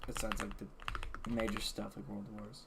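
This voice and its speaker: A man's voice: lowish voice, flat delivery, normal speed, vocal fry. A man streaming a game, chatting casually with viewers and thinking aloud with many restarts. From English-speaking country. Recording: background keyboard clicks and noise. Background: keyboard typing and mouse clicks.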